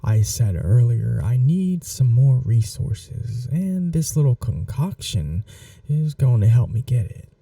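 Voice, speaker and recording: Man playing a smug, dark-voiced mad scientist roommate. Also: mid-range voice, sing-song, takes it slowly, gravelly, no echo, recorded from a distance, full character voice. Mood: smug, scheming. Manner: casual, coaxing, condescending, self-satisfied. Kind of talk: ASMR audio roleplay, intimate acted monologue.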